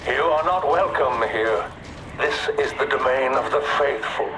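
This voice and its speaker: A man's voice: mid-range voice, a little slow, noisy background, natural voice. A man voicing a menacing heretical prophet in a video game, solemn, grandiose and taunting. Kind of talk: video game villain monologue.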